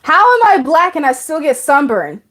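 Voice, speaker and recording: A woman's voice: high voice, sing-song, moderate pace, a bit bright. Chatty woman in her twenties live streaming. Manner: chatty, laughs often, reads out comments. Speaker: live stream host reading viewer comments. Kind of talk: casual live stream chat.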